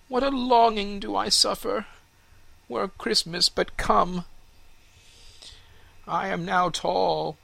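A woman's voice: medium pitch, gravelly, fairly bright tone, no echo, voice pushed up, heavily acted, low-quality recording. A woman voicing a restless young fir tree in a storybook reading, full of longing exclamations. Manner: yearning, breathless exclamations.

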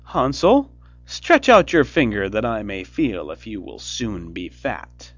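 A man doing a shrill wicked old witch. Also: very animated, slow pace, no room sound, full character voice. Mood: coaxing. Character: wicked old female witch, cruel and scheming. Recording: dull lo-fi recording with faint music.

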